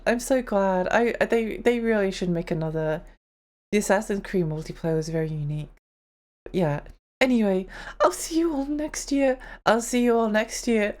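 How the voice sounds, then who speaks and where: A young woman talks casually to her stream in a mid-pitched voice. Her voice sounds worn out and strained. She rambles along in a chatty, giggly way. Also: darkish tone. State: tired, strained voice. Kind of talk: casual live-stream chat.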